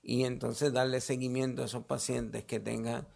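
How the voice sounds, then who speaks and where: Male health official, Puerto Rican accent, creaky mid-range voice, explanatory press-briefing answers with halting restarts. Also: mid-range voice, level tone, a little mellow. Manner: halting, repeats words mid-sentence.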